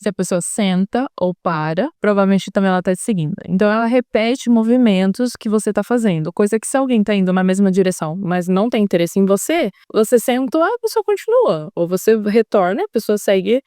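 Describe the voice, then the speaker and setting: Young adult woman, São Paulo Brazilian accent, vlogger telling a story with earnest drama; dry, mellow. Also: mid-range voice, expressive, brisk, hardly any pauses, no room sound. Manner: earnest, dramatic retelling with quoted thoughts. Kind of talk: storytelling vlog.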